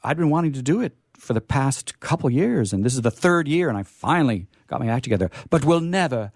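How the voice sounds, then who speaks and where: Male host for live radio chat: very expressive pitch, discursive and a touch theatrical, in a dry studio sound. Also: a little fast, dry recording. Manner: rambling, playfully theatrical. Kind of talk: chatty live radio talk.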